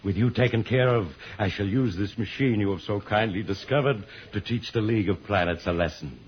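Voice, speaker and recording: An actor playing a gloating megalomaniac space villain in an old-time radio serial, pompous, menacing and theatrical. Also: male voice, fairly low pitch, brisk, lightly acted. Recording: muffled, hissy old radio recording.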